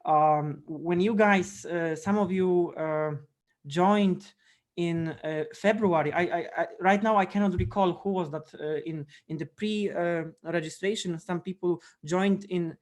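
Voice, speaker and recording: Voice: mid-range voice, fairly even intonation, a little slow. A course instructor and host talking casually and conversationally.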